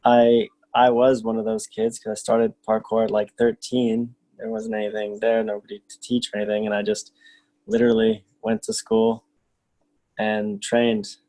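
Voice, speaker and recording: Low, mellow, laid-back man. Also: monotone, normal speed, rough recording. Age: 30s. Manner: laid-back, "you know what I mean". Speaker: parkour coach. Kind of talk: casual group video call. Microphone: video call.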